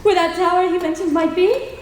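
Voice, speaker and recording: A woman's voice: extremely high pitch, fairly even intonation, a little fast. Woman playing an earnest, poised heroine. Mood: worried. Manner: clear, earnest, questioning. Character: poised, capable young woman companion. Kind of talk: live old-time radio drama reading. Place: large convention hall, live stage. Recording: echoey hall with long reverb.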